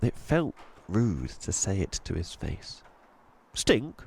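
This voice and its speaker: A man narrating a children's audiobook in an expressive storytelling style. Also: sits low, unhurried, creaky, a little hiss or hum.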